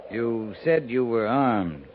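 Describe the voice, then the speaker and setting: A man's voice: medium pitch, lively intonation, slow pace. An American man playing a wary, terse frontier cavalry captain in a 1950s radio drama. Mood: wary, probing. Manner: terse, guarded. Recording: tinny, noisy 1950s radio recording.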